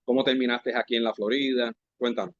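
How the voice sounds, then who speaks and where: A man hosting a podcast interview, asking questions in a halting, conversational way. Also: mid-range voice, flat delivery, no room sound. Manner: conversational, halting, full of fillers and restarts. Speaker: podcast host. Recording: dry, slightly dull podcast audio.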